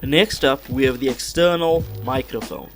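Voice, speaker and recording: A man reading a scripted product unboxing voiceover in a measured, instructional way, recorded on a small external car-radio microphone. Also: medium pitch, faint music behind. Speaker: host of a car audio channel. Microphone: small external car-radio microphone, cleaned-up voiceover.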